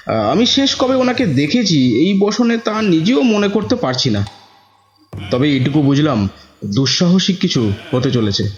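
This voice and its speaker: A man narrating a scripted horror story in a dramatic, suspenseful manner. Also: medium pitch. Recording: dull audio with music bed.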